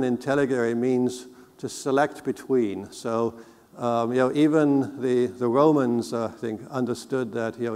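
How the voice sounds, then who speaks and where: A middle-aged man giving a conference keynote on a technical subject, explaining in a measured, deliberate way. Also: mid-range voice, a little mellow. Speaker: keynote speaker. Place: large indoor conference hall, on stage. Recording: reverberant hall sound.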